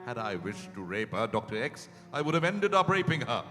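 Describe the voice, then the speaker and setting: Defensive, cornered man played by a male actor, mid-pitched, self-justifying, stage reading in a reverberant hall. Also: very animated, a little fast, sharp tone. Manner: hesitant, pleading, self-justifying. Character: defensive, cornered man pleading his case. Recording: hall reverb, faint music behind.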